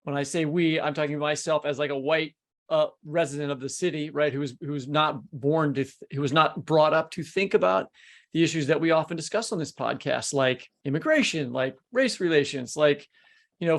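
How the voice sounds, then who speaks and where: Higher-voiced man in his fifties, halting. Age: 50s. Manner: halting, circling toward his point. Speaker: podcast host. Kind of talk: casual discussion and questioning.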